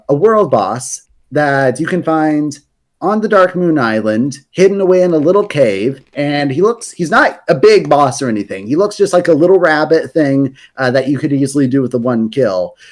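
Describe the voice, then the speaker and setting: A man on a podcast explaining fantasy game lore in a casual, rambling way, with many fillers and restarts. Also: fairly high pitch. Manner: rambling, self-correcting, hesitant fillers.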